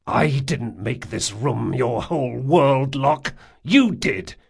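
A male narrator voicing a gruff, hot-tempered big man who scolds his friend in a growling voice, in an audiobook story. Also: mid-range voice, animated, exaggerated voice. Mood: accusing. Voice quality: gravelly, growling. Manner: forceful, scolding, drawn-out emphasis.

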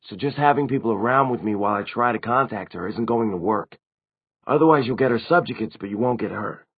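Male narrator as teenage vampire, anxious, earnest, defensive, emphatic, raised pitch, slightly muffled audiobook recording. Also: a little fast, slightly rough. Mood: urgent.

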